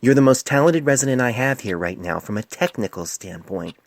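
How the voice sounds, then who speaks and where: A man voicing a stern, wise older female pony physician in a storybook reading, speaking in a measured, grave, admonishing way. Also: medium pitch, animated, no room sound, pitched above the performer's own voice, lightly acted. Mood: earnest, admonishing.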